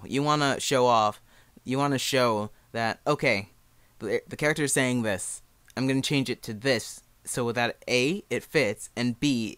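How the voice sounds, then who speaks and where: A man's voice: mid-range voice, fairly bright tone, no echo. A young man giving a casual, informal tutorial as an amateur, talking in a self-deprecating way. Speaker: amateur fan dub creator giving a tutorial.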